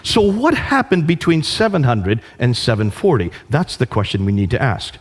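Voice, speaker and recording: A man's voice: medium pitch, sing-song, normal speed. A man giving an illustrated lecture, speaking in an emphatic, declarative way and driving key points home by repetition. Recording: reverberant hall.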